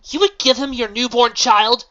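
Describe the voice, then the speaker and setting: A reader voicing an immortal pony princess, regal and remorseful, grave and sometimes hushed. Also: mid-range voice, steady tone, moderate pace, dull audio, voice pushed up, natural voice.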